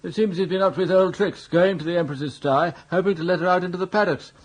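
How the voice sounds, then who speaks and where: An actor playing a dithery, indignant elderly English earl with an upper-class accent in a radio comedy drama, vague and fussy, prone to babbling. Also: male voice, high voice, fairly even intonation, quick pace. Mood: aggrieved, flustered.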